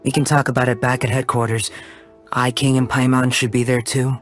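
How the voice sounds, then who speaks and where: A man plays a polite young scholar in a video game. His voice is mid-pitched, calm and measured, with faint music underneath. He comes across as reassuring. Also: close to an everyday voice. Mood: composed. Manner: measured, courteous.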